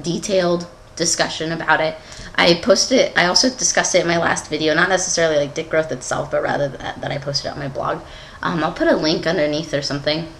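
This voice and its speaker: A man's voice: on the high side, gravelly, sharp tone. A young adult man giving a casual, candid personal video update in a rambling, giggly, self-deprecating way. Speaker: vlogger.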